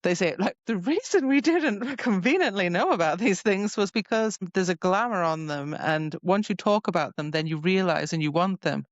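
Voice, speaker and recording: A woman's voice: fairly low pitch, normal speed, few pauses, no room sound. Woman chatting casually, slightly dull recording. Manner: animated retelling, mimics characters in mock quotes. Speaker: podcast co-host. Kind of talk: casual book discussion.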